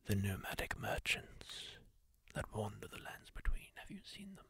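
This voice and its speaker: A man's voice: on the low side, huge pitch swings, exaggerated voice. A man whispering as a kindly masked healer. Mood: hushed solemn. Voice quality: soft unvoiced whisper, gravelly and husky. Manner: slow, hushed, solemn storytelling to the listener. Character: kindly masked male healer of a dark fantasy world. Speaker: ASMR roleplay performer. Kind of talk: ASMR roleplay whispered storytelling.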